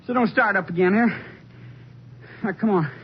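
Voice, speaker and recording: A man's voice: high-pitched, normal speed, close to an everyday voice. A man playing a guilty, stubborn young army deserter in an old-time radio drama, hesitant and plaintive. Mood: rattled. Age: young adult. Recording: dull old radio recording, faint hiss.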